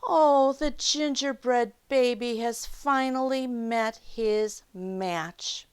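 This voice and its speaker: A woman's voice: fairly high pitch, slow pace, clear, resonant tone, voice pushed up. Woman reading as a kindly storybook father, exclaiming. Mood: exclaiming, resigned. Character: kindly storybook father talking to his family.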